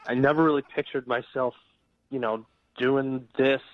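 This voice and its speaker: A man's voice: mid-range voice, fairly even intonation, normal speed. A man talking casually over the telephone, polite and hesitant, stammering at times. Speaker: temp worker taking a phone call. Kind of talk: casual phone conversation. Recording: muffled, dry phone line.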